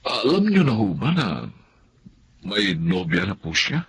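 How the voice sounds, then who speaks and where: A man's voice: lowish voice, sing-song, unhurried, fairly bright tone, slightly rough, heavily acted. A man acting in a Filipino radio drama as a well-meaning, respectful young friend who questions and advises. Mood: respectful, regretful.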